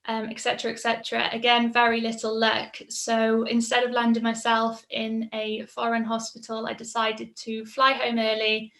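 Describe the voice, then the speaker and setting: A woman's voice: fairly high pitch, completely flat delivery, normal speed, vocal fry, few pauses, fairly bright tone. A woman, telling her personal story in a casual, reflective way, with frequent ums. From Mid Wales. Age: 20s. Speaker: patient sharing her story. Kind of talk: personal testimonial.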